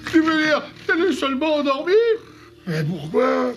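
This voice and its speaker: A man's voice: very high-pitched, big pitch swings, normal speed. A man playing a fretful, bumbling, kindly dwarf in a French cartoon dub, fussy and plaintive. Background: music.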